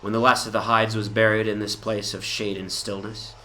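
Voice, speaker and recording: A man's voice: fairly low pitch, level tone, moderate pace, bright, forceful tone, slight background noise, poor audio. A man voicing a haunted, reclusive dreamer in a dramatic gothic horror reading, speaking in a solemn, formal, brooding way. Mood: ominous, solemn. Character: Jervas Dudley, haunted, reclusive dreamer recounting his obsession. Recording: narration over a music soundscape.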